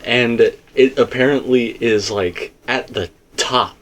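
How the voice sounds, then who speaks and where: A man's voice: mid-range voice, slow pace, slight background noise. A man in a casual, rambling fan discussion, repeating himself for emphasis as he co-hosts. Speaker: co-host discussing video game theories.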